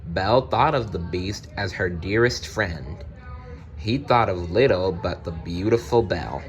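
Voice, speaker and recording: Low-voiced man reading a storybook, very unhurried. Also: dull audio. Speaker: storybook reader. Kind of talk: scripted storybook narration read aloud.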